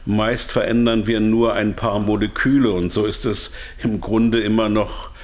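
A man's voice: lowish voice, fairly even intonation, a little slow, lightly acted. A man acting in a German radio drama as an evasive but candid company insider, explaining things in a hedging, hesitant way. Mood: explanatory, hedging.

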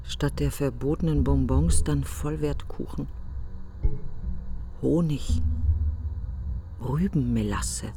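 A middle-aged woman in grief, bitter and reflective. Her voice is creaky and breathy, often sinking toward a whisper. She speaks in broken, deliberate phrases, as in a literary radio play. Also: extremely low pitch, music in the background, heavily acted. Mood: bitter, ironic. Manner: fragmented, brooding, deliberate phrasing. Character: grieving, bitter, reflective woman alone after a friend's funeral. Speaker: actress performing a radio monologue.

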